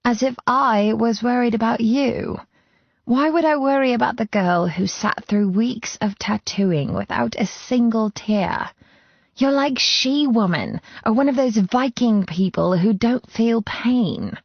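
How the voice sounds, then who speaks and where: Woman acting high-pitched, teasing young female best friend. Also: a little slow, no room sound, muffled recording. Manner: exclamatory, playfully sarcastic. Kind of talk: acted audiobook dialogue.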